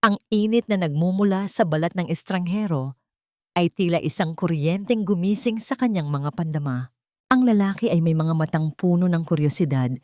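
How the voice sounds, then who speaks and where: Low-voiced Filipino woman narrating a drama. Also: mellow, no room sound, studio quality. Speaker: storyteller, narrator. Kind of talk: scripted dramatic story narration.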